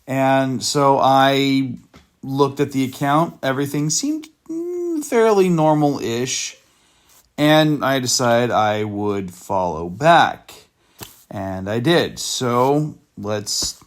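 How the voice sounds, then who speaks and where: A man's voice: mid-range voice, animated, slow. A man hosting a video and talking casually through screenshots of a chat, with dry, sarcastic asides and a loose, meandering delivery. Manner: loose, meandering with fillers and restarts. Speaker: channel host exposing a scammer.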